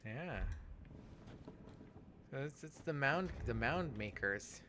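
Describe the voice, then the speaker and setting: Man, mid-pitched voice, casual game commentary, rambling, halting delivery, audible background noise. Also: lively intonation. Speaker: let's play podcast host.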